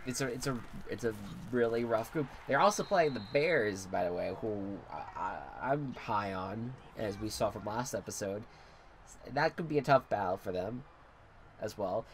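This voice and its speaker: A man's voice: lowish voice, a little hiss or hum. A congested young man rambling and swearing casually while commentating a football video game. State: congested, stuffy nose. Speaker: gaming commentator.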